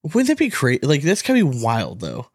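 A man co-hosting a podcast, chatting informally and excitably. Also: medium pitch, moderate pace, creaky, slightly rough, dry recording, clean studio sound. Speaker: podcast co-host. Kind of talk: casual chat, posing questions.

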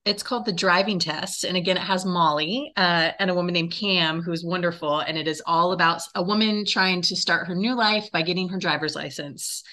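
A woman speaks as an interview guest with a bright, forward voice pitched a little low. She explains her work as a director in a conversational way. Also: a little fast, hardly any pauses.

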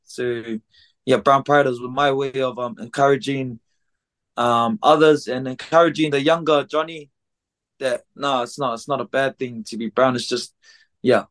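A man in his twenties with a New Zealand accent, a podcast guest talking casually and haltingly with many ums, recorded in a music studio. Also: medium pitch. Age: 20s. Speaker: guest, gym co-founder. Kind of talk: casual interview chat.

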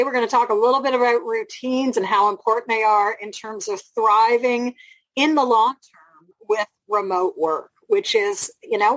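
A woman co-hosting a podcast in a conversational, reflective way with frequent asides and a slightly husky voice. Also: fairly high pitch, fairly bright tone, no room sound, poor audio. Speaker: podcast co-host.

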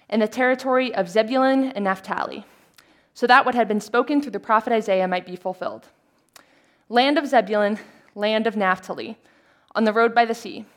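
A woman reading a Bible narrative aloud from a prepared text. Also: fairly high pitch. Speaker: volunteer scripture reader. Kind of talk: scripted reading of scripture aloud.

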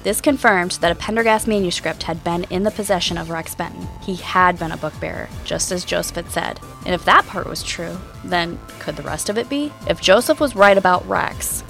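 An actress plays a thoughtful woman hosting an investigative mystery podcast. Her voice sits a little low, measured and reflective with a wry edge, over faint music. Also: vocal fry, fairly bright tone. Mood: pondering. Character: thoughtful, inquisitive female radio host and podcast investigator. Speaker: podcast host and narrator. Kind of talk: scripted first-person mystery narration.

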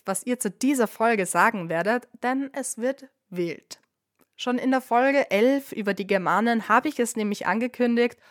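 A woman's voice: fairly high pitch, no echo, clean studio sound. A woman hosting her own podcast, speaking with a cold, telling history in a playful, wry scripted narration with ironic asides. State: has a cold. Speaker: podcast host, bartender.

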